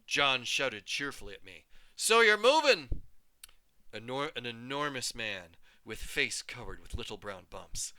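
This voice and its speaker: A man, a teacher, reading a story aloud with expressive, animated storytelling. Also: medium pitch, moderate pace, few pauses, no room sound. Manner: animated, voices each character.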